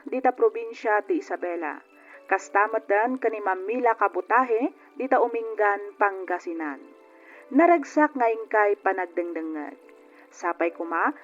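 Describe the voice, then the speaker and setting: A woman hosting and narrating a radio drama programme in a scripted style. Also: medium pitch. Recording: dull lo-fi radio recording. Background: faint music.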